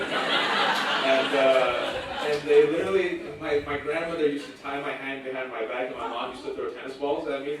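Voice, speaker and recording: A man's voice: on the high side, very noisy. A man from California whose first language was Urdu, giving a humorous, self-deprecating personal speech at a campus event. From California, United States. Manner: joking, self-deprecating storyteller. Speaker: guest speaker at a campus event. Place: large lecture hall. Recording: echoey lecture hall, distant mic.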